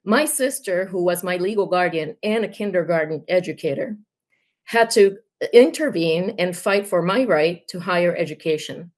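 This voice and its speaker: A woman's voice: lowish voice, normal speed, a little mellow. A woman from Puerto Rico, a native Spanish speaker, giving a prepared campaign speech as a union candidate in an earnest, emphatic manner. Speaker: union candidate, high school Spanish teacher.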